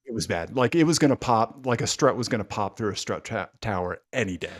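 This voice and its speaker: A man chatting casually as a podcast guest, excitable and swearing freely, his voice climbing high when he gets worked up. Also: fast talker. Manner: swears freely, rambling car enthusiast. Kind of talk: casual chat.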